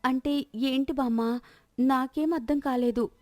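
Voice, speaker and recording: A woman's voice: high voice, fairly even intonation, measured pace, mellow, no room sound, pitched above the performer's own voice. A woman voicing a polite, obliging housewife with an innocent-sounding manner in a moral story. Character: polite, obliging young housewife turned slyly defiant.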